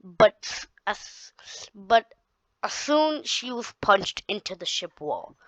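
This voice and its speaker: A woman reading her own story aloud, halting often and restarting her sentences. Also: mid-range voice, animated, dry recording. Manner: halting, with frequent restarts and repeated words. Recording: dull, dry lo-fi recording.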